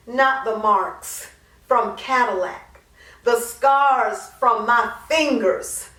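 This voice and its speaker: A woman performing a dramatic monologue as an anguished, guilt-ridden mother pleading to God, impassioned and drawn-out. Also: on the high side, fairly bright tone, full character voice. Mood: anguished confession. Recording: roomy echo, distant microphone.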